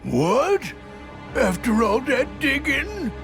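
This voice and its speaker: A man's voice: extremely high pitch, big pitch swings, slow pace, vocal fry, heavily acted. A man voicing a bumbling, grumbling cartoon henchman in a video game, with folksy, uncouth slang. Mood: grudging. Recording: music behind the voice.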